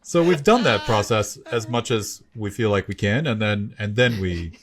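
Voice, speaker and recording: A man with a lowish voice and very animated intonation co-hosts a podcast. His delivery is casual and conversational. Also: normal speed. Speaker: podcast co-host and writer.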